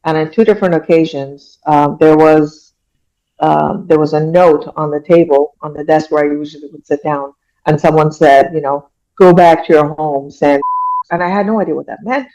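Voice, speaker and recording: A woman's voice: low-pitched, steady tone, moderate pace, mellow, poor audio. A middle-aged woman from Iran, a guest telling personal stories in a casual interview in an earnest, reflective way, often saying "you know".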